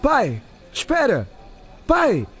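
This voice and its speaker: Slow, pleading teenage son. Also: male voice, very high-pitched, wildly swooping pitch, fairly bright tone, soft background music, exaggerated voice. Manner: pleading, halting. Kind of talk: radio drama acting.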